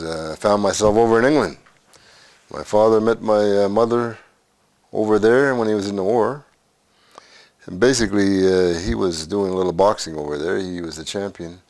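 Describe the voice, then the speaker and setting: Deep-voiced older man reminiscing. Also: creaky, a little mellow, dry recording. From Canada. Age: 60s. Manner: unhurried and halting, with frequent "uh" pauses. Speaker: interviewee in a documentary. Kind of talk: reminiscing life story.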